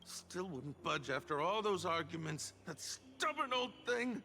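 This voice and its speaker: Brusque, animated townsman. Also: fairly high pitch, a little slow, gravelly, slight background noise, heavily acted. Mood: bitter grief. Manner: blunt, exasperated. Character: brusque, busy city man, stubborn son.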